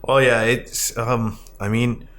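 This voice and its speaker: A man's voice: medium pitch, flat delivery, takes it slowly, fairly bright tone, slight background noise. Male podcast host, mid-range voice, opinionated, loose rambling conversational delivery about films. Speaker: podcast host, arcade president. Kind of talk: casual film discussion.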